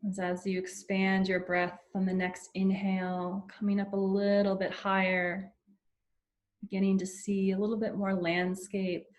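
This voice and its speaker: A woman leading a guided meditation in a soothing, unhurried way with long pauses between phrases. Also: mid-range voice, monotone.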